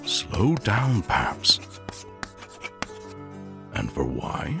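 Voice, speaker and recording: A man voicing a weary, scholarly skeleton scientist father in a comic dub, speaking formally and deliberately, often breathily. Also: low voice, sing-song, gravelly, heavily acted. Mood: gentle, patient. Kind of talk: dramatic comic dub acting. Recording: loud music behind the voice.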